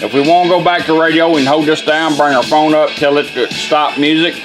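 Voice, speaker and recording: A man's voice: a little high, steady tone, fairly quick. A man giving an informal, step-by-step hands-on product demonstration in a plain-spoken way. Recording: music playing from car radio behind. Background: music from the radio being demonstrated.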